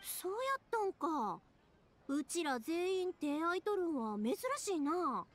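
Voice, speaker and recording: A woman voicing a bubbly, warm-hearted young craftswoman with a Kansai accent in an anime game. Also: extremely high pitch, animated, unhurried. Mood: cheerful, eager. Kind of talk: Japanese anime game voice acting.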